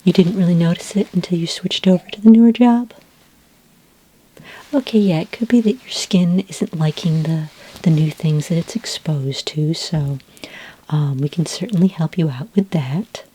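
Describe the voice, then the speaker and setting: A woman playing a patient, reassuring sci-fi skincare consultant in a soft-spoken, half-whispered ASMR roleplay, gentle and a little hesitant. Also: lowish voice, slightly rough, poor audio. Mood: attentive, polite. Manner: gentle, hesitant, unhurried, polite questioning.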